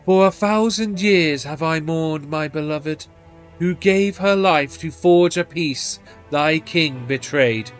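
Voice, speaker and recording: A man voicing a proud ancient dragon envoy in a video game, speaking in solemn, archaic, formal phrases. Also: fairly high pitch. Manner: formal archaic speech, stately.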